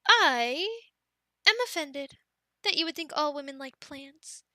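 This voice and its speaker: A young woman voicing an affectionate, teasing girlfriend in an ASMR roleplay, speaking softly, giggling and dropping into whispers, close to the mic. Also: high-pitched, lively intonation, measured pace, no room sound, full character voice. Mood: playful. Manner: soft and giggly, drifting into whispers.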